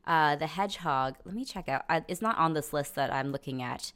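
A young woman hosting a podcast in a casual, conversational way, pausing and stretching words as she thinks. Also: sits low, a bit bright, clear, resonant tone. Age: young adult. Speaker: podcast host.

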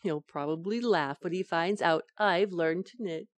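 A woman's voice: on the low side, measured pace, no room sound, close to an everyday voice. A woman playing a scatterbrained, coaxing young wife in an old-time radio sitcom, with a breezy, innocent comic delivery. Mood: breezy, confiding.

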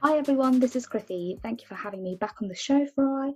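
A woman's voice: high-pitched, fast talker, breathy edge, faint music behind. A woman from India whose first language is Tamil, co-hosting a podcast and asking curious questions. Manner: curious, asks many questions, frequent agreeing murmurs. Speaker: podcast co-host. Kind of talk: casual conversational podcast.

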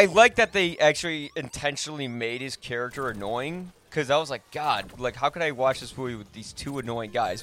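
A man's voice: mid-range voice, sharp tone. A man hosting a podcast, chatting casually about films and giving his opinions. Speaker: podcast host. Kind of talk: casual film discussion.

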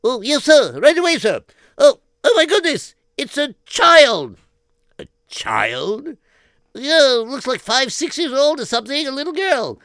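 A man voicing an eager young soldier who shouts in a high, excited voice. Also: a little slow, dry recording, heavily acted.

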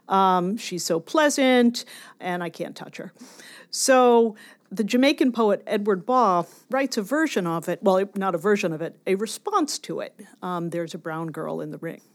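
A woman's voice: medium pitch, animated, measured pace. A woman scholar being interviewed, explaining ideas in an academic but conversational and emphatic way.

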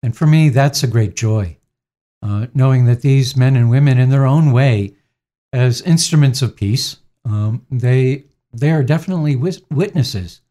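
Gentle priest in his sixties, mellow tone, calm reflective answers. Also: medium pitch. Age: 60s. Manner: gentle, unhurried, frequent fillers. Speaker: priest, interviewee.

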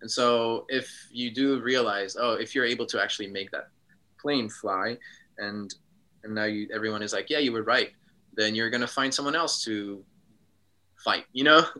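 A man from Switzerland, a guest in a casual interview, speaking reflectively with frequent pauses and ums. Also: fairly low pitch, fairly even intonation, pushed and bright, rough recording.

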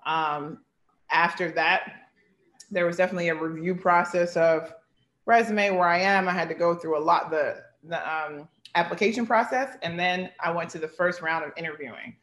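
A woman's voice: lowish voice, normal speed, fairly bright tone, roomy sound. A woman in her thirties, a basketball coach, giving earnest, heartfelt interview answers full of "like" and "you know". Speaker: basketball head coach, guest.

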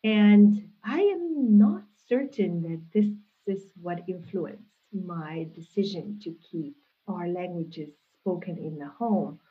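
A woman, a guest answering interview questions in a thoughtful, measured way with frequent restarts, heard over a video call. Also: fairly low pitch, expressive, slightly rough. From Hungary. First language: Hungarian. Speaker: guest, linguistics professor. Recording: tinny phone-like call audio.

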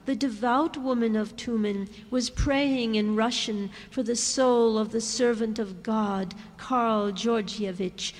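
A woman with a fairly high voice reads literary prose slowly and solemnly, letting each phrase settle. A long church reverb trails after her words. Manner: slow and measured, solemn. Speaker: reader. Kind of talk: literary reading aloud. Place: church hall.

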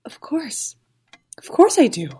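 Woman acting a high-voiced, fragile grieving mother. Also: sing-song, takes it slowly, dark tone, full character voice. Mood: sad, loving. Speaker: actor in an audio play. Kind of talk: dramatic audio play acting.

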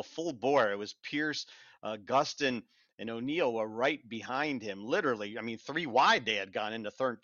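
A man's voice: medium pitch, sharp tone, no echo. An American man talking as a reporter on a podcast panel, casually rambling through race details with repeated, restarted phrases. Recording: dull lo-fi, very dry sound.

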